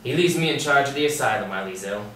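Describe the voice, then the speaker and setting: A man reading the part of a calm, composed male asylum doctor at a table read, in a measured clinical way with dry jabs. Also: medium pitch. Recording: roomy echo, distant mic, faint hiss.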